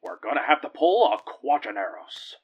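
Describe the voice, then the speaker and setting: A man's voice: medium pitch, very animated, normal speed, slightly rough, highs cut off. A man playing a bold, gallant space captain and vigilante hero in an audio drama, speaking in decisive, heroic commands. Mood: urgent, planning.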